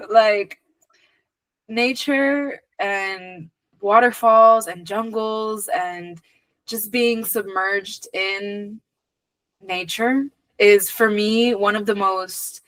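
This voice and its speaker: A woman from Venezuela who grew up in the United States, talking casually and reflectively as an interview guest, earnest and emphatic, pausing to choose her words. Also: medium pitch, a bit bright, dry recording. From born in Venezuela, moved to the United States very young. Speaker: podcast guest.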